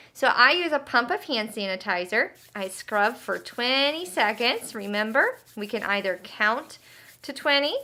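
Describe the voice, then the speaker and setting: A woman's voice: fairly high pitch, sharp tone. A woman leading a children's lesson and storytime, speaking expressively in simple questions and instructions, like an encouraging teacher. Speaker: children's health educator and storyteller.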